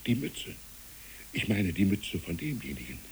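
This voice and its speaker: An actress playing a probing adult questioner who presses for answers in a poetic German radio drama. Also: female voice, a bass voice, very animated, moderate pace, full character voice, low-quality recording. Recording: clear tape hiss.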